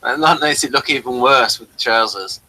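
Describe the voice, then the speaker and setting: A man with a bright, forward voice at a middling pitch gives casual commentary as co-host of a review. He talks in a halting, rambling way, often leaving sentences unfinished. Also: poor audio. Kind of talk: casual running commentary on a TV episode.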